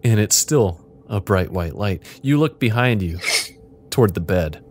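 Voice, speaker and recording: A man casually narrating an interactive fiction game as its host, in a deadpan, playful way. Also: lowish voice, a little slow, faint music behind.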